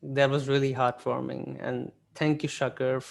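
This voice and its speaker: A man from Pakistan, Urdu his first tongue, interviews a guest over a video call. He speaks in a level, even tone at a middle pitch, soft-spoken and agreeable, sprinkling in "like." His phrasing stays measured and polite. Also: vocal fry. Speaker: blogger and interviewer.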